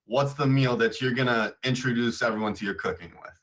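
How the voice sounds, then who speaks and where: A man's voice: mid-range voice, fairly quick, fairly bright tone. A man hosting a weekly app update show, upbeat and chatty, laughing often. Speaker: show host. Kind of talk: livestream announcement show hosting.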